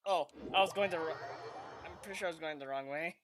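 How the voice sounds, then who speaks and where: Woman streamer, lowish voice, offhand halting asides over gameplay. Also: background noise. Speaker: gamer streamer. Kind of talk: casual commentary over gameplay.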